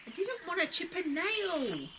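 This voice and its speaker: An actress playing a pampered young settler in an audio drama, complaining and dismissive. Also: female voice, extremely high pitch, huge pitch swings, measured pace, noisy background, heavily acted. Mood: unimpressed.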